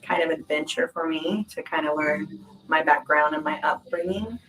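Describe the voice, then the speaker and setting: A woman's voice: low voice, moderate pace, a bit bright, recorded from a distance, a little hiss or hum, poor audio. A woman telling her personal story in a reflective, informal way, as in a documentary interview. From Hawaii, island of Kauai. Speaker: shaved-ice business owner.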